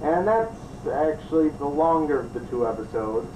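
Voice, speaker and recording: A man's voice: fairly high pitch, lively intonation, moderate pace. A man hosting a review show, giving improvised commentary. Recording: roomy, distant mic, clearly noisy.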